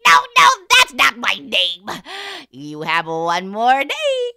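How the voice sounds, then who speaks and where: A woman voicing a tiny, angry magical little man with a squeaky, taunting, drawn-out voice in a storybook tale. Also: huge pitch swings, creaky, bright, forceful tone, dry recording, heavily acted.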